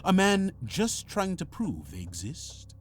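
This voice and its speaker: An actor playing a grave, mysterious ex-criminal informant and desperate father in an adventure game, speaking cryptically and urgently, with phrases that drop toward a hush. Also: high-pitched, wildly swooping pitch, slow, a little hiss or hum, heavily acted. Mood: intense, dramatic. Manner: cryptic, urgent, dramatic delivery with hushed line endings. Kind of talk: dramatic point-and-click adventure game voice acting. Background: music.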